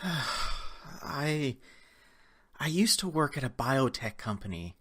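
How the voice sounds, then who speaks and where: A man playing a nervous, evasive suspect in an audio crime drama, with a husky, hesitant delivery. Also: medium pitch, very animated, takes it slowly, a bit bright, full character voice. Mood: confessing. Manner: hesitant, stammering. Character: nervous, evasive male suspect under questioning.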